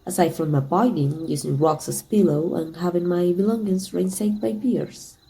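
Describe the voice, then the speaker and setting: A woman from Mexico voicing a teasing, tender female villain in an intimate ASMR roleplay, soft and breathy with some whispering. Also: fairly low pitch, normal speed, low-quality recording. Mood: flirtatious, teasing.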